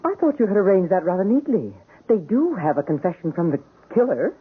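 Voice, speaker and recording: A woman playing a cruel, haughty rich lady in a radio drama, imperious, clipped and condescending, with a husky voice. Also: on the low side, big pitch swings, fairly quick. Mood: cool, confident. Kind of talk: 1970s radio drama acting. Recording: tinny old radio recording.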